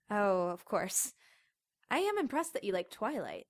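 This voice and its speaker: An actress playing a chatty, casually sarcastic young motel desk clerk in an audio drama. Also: female voice, medium pitch, very animated, slow pace. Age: young adult. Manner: casual, sarcastic, then terrified.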